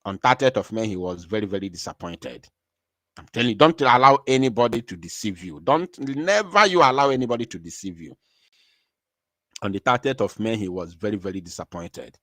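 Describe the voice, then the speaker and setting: A man hosting a livestream, talking with emphatic repetition and sudden swings from quiet to loud. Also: fairly low pitch, expressive, fairly quick, no room sound. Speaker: livestream host. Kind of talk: impassioned livestream talk.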